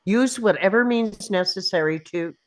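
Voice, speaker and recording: A woman's voice: mid-range voice, normal speed, close to an everyday voice. A woman playing a commanding, middle-aged CIA supervisor in a film script table read, businesslike and urgent.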